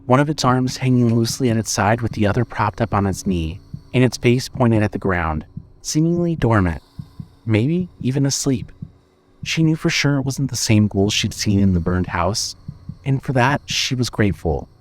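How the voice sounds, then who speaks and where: A man narrating a scripted horror audio drama in a measured, deliberate storytelling manner. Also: medium pitch, expressive.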